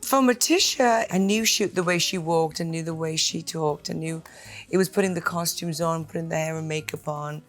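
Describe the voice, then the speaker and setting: Welsh woman in her fifties, low-pitched, animated chat, faint music underneath. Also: moderate pace. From Wales. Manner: animated, gasps and playful emphasis. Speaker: actress, interview guest. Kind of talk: casual rapid-fire chat.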